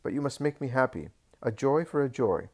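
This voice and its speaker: A man voicing a greedy, proud rich landowner with a squeaky, fox-like voice, pleading and boasting. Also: animated, a little fast, darkish tone, natural voice. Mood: wheedling.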